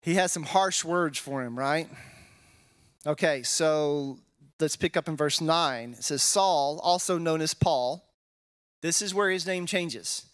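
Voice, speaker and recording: A man in his forties with a Texas accent, teaching a Bible passage in a relaxed, conversational way and often checking in with "right?". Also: a little high, takes it slowly. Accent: Texan. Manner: casual, tags statements with "right?", thinks aloud with fillers. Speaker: pastor. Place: wood-paneled church hall, indoors. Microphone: handheld microphone.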